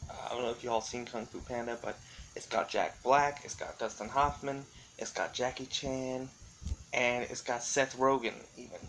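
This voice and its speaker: A man with a medium-pitched voice talks casually, as if recording a solo vlog. He thinks aloud in a rambling, tentative way, often qualifying his own statements. Faint noise sits behind his voice. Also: steady tone, moderate pace, a bit bright, poor audio. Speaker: vlogger. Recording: clearly audible background noise.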